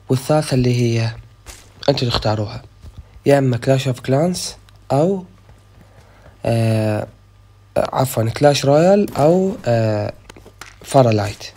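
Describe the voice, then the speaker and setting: A man's voice: mid-range voice, dark tone. A young man with an Iraqi Arabic accent, hosting a gaming channel and chatting casually while a little sick. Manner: chatty, loose, thinking aloud. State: slightly sick. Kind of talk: casual gameplay commentary.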